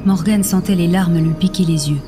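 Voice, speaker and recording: A woman's voice: on the low side, fairly even intonation, moderate pace. A woman reading scripted, dramatic story narration for an audio fiction. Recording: music behind the voice.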